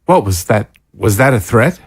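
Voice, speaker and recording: A man's voice: fairly low pitch, animated, normal speed, husky, no echo, clean studio sound. A man in an audio drama playing a principled, defiant imprisoned doctor with a sardonic, educated, indignant manner. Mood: wary. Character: principled, defiant male doctor held prisoner.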